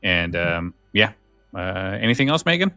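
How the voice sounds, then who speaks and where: A man's voice: low voice, sing-song, normal speed, sharp tone, no room sound, soft background music. A man hosting a podcast, chatting casually and loosely with listeners in an informal, conversational way. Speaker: podcast host. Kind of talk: casual chat, show sign-off.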